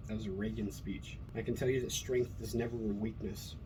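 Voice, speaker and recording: Rambling, profane American man, low voice. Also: steady tone, fairly quick. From Colorado, USA. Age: adult with a school-age son. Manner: rambling, profane, many "you know" fillers. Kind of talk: casual monologue reacting to a speech. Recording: noticeable background noise.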